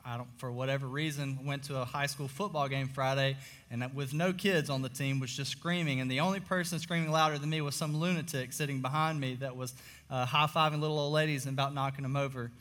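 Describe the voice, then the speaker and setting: Young pastor guy preaching, kinda hoarse, lots of uhs, big church echo. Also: medium pitch, steady tone, fast talker, a bit bright. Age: young adult. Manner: earnest, many "uh" fillers. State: slightly hoarse. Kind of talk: sermon. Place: church auditorium. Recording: long reverb of a large hall.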